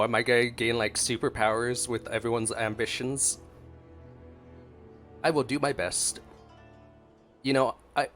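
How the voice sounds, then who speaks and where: Mid-pitched man casually streaming a game. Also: fairly bright tone. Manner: playful, offhand asides. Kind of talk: casual gameplay commentary. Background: game music.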